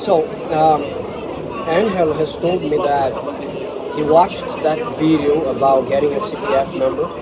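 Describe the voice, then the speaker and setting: A man's voice: fairly high pitch, steady tone, measured pace. A man hosting a casual interview, friendly, often checking in with short questions. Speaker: host, channel creator. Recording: loud crowd and street noise.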